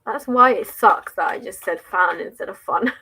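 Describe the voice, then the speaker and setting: A woman's voice: mid-range voice, unhurried, bright, forceful tone, husky. Giggly English woman chatting on livestream. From England. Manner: chatty and giggly, with many likes and restarts. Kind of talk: casual livestream chat answering viewer comments.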